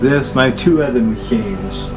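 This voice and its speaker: An older man gives a casual, unscripted tour of equipment at a slow pace. His voice is medium in pitch, and his delivery is plain and halting, with trailing phrases. Music is clearly audible behind him. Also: rough recording. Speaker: collector presenting his tape machines. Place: indoor room full of audio gear.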